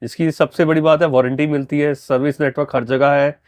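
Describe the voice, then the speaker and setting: A man's voice: fairly high pitch, fairly even intonation, fairly quick, darkish tone, no echo. A man explaining products conversationally as a dealer and installer. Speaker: car audio dealer and installer.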